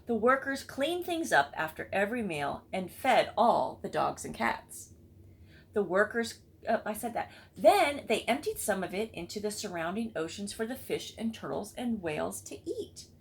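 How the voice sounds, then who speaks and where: A woman's voice: mid-range voice, creaky. A woman reading a children's storybook aloud expressively, with chatty asides to listeners. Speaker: read-aloud book club reader.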